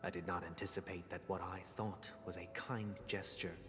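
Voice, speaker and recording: A man voicing a solemn, formal gentleman adviser who delivers an eloquent, deliberate monologue in a video game. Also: sits low, steady tone, natural voice. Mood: grave, sorrowful. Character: solemn, measured gentleman adviser recounting a tragic tale. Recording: long hall reverb, clear game music. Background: game music.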